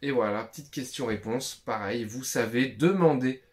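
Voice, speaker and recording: A man's voice: medium pitch, moderate pace, a little mellow. Chatty man teaching a casual lesson. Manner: chatty, slangy and informal, repeats and restarts. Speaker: YouTube Japanese tutor. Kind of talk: casual beginner language lesson.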